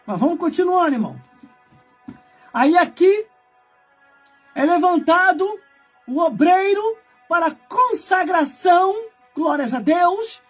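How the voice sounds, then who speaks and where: A man in his forties, a preacher from Brazil, delivering a fiery sermon with shouted, scolding emphasis and heavy repetition. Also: very high-pitched. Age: 40s.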